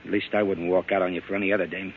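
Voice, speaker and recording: An actor playing a rough, slangy, lovesick henchman in a 1940s radio crime drama, plain-spoken and pleading. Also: sits low, little pitch movement, very fast. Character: rough-talking lovesick henchman, loyal but exasperated. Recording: muffled, hissy old radio recording.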